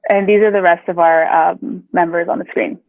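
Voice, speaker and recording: A woman's voice: fairly low pitch, fairly even intonation, moderate pace, dry recording. A young woman from Canada reading a presentation script over a video call. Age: young adult. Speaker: youth presenter. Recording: tinny phone-like call audio.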